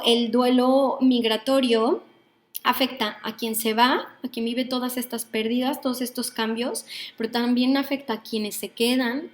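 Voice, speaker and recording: A Mexican woman with a Mexican Spanish accent, a psychologist teaching an online workshop. She speaks thoughtfully and keeps checking in with her listeners, pausing and hesitating as she goes. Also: on the high side. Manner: thoughtful, frequent "¿no?" tags and hesitations.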